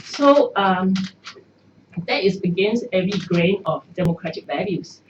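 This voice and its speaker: A woman's voice: mid-range voice, lively intonation, a little slow. Woman candidate, echoey room. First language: Cantonese. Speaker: party candidate. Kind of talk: press conference answers. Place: party office press room. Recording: echoey room, far mic, dull noisy.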